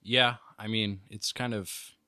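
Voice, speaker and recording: A man's voice: lowish voice, fairly even intonation, takes it slowly, pushed and bright, clean tone, no echo, clean studio sound. A man co-hosting a podcast in a casual, laid-back conversational way. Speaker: podcast co-host. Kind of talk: casual chat.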